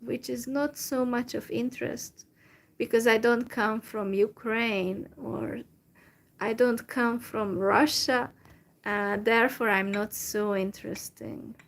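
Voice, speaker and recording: A young woman from Central Europe chatting casually to her viewers in a halting way, with many fillers and restarts. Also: a little high, steady tone. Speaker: vlogger. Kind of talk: casual solo chat to viewers.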